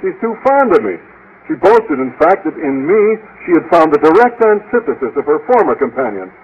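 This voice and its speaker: An actor playing a bashful, naive schoolteacher with a formal, earnest manner in an American radio comedy from the 1950s. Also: male voice, on the high side, sing-song, moderate pace. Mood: anxious. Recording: dull, faintly noisy old radio recording.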